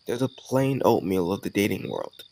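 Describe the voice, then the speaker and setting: Male actor as cocky college charmer, young, low creaky voice, casual teasing delivery, romantic audio roleplay. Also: animated, moderate pace, mellow, low-quality recording. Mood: playful, persuasive. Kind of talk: scripted romantic audio roleplay addressed to the listener.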